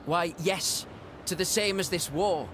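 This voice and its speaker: An actor voicing an earnest young prince in a fantasy video game, formal and measured, with a pleading air. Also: male voice, sits high, a bit bright, slight background noise. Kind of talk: dramatic video game voice acting.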